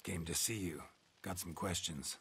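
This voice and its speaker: A gruff male monster hunter from a fantasy game, with a very deep, gravelly voice. He is terse and deadpan, speaking bluntly in clipped phrases. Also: normal speed, heavily acted. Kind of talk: video game voice acting.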